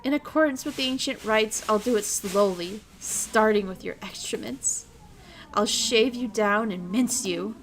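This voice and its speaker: A woman voicing a cruel teenage girl who speaks with quiet, mocking menace. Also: mid-range voice, a little slow, slight background noise, lightly acted. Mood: menacing.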